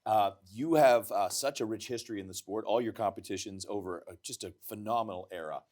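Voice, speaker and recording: A man's voice: lowish voice, fairly quick, darkish tone. A male host asking a guest questions in an easygoing way, with some uh's. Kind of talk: interview talk.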